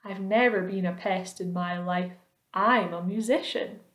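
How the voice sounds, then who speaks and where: An elderly gentleman grasshopper from a storybook, performed by a woman reader. He is dignified, formal and measured, with some old-fashioned hauteur. The room echoes. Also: on the high side, sing-song. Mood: scornful. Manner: slow, stately, scornful when slighted. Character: weary, dignified, courteous old male grasshopper. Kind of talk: children's storybook read aloud. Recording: roomy, echoing indoor sound.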